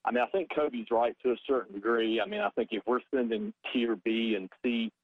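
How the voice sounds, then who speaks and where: A man's voice: medium pitch, no room sound. Male caller on a tinny phone line. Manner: casual, halting, with fillers. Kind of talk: call-in radio comment.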